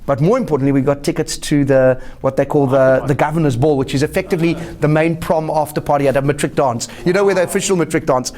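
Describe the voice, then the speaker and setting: A middle-aged man with a South African accent, telling stories at a brisk clip. His voice sits fairly high and leaps upward for punchlines. He talks like a comedian chatting on a studio couch. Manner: animated anecdotes with lively pitch jumps. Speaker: guest comedian. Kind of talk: casual interview storytelling.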